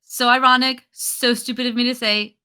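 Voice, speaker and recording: A woman's voice: a little high, flat delivery, normal speed, pushed and bright, clean tone. A woman panelist in a casual chat, opinionated and bantering.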